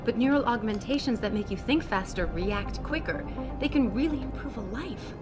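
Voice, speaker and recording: A woman's voice: a little high, lively intonation, moderate pace, over music, close to an everyday voice. An actress playing an earnest, idealistic woman scientist in a video game cutscene.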